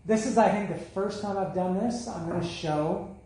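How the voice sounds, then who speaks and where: A man's voice: high-pitched, normal speed, mellow, roomy sound, low-quality recording. Rambling man with a fading voice. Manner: rambling, many fillers and restarts. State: losing his voice. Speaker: music vlogger reviewing albums. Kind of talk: casual solo video talk. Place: home room with bookshelf below camera. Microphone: camera setup mic.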